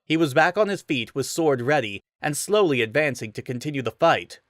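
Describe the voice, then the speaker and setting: A man's voice: a little high, animated, brisk, fairly bright tone, no echo, studio quality. A man giving a dramatic reading of a fantasy story as narrator.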